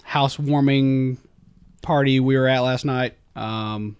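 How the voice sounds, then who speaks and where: A man with a slightly raspy, sandy voice, co-hosting a casual podcast chat in a chatty way with frequent ums. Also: mid-range voice, steady tone, slow, no echo, lo-fi sound. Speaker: podcast co-host.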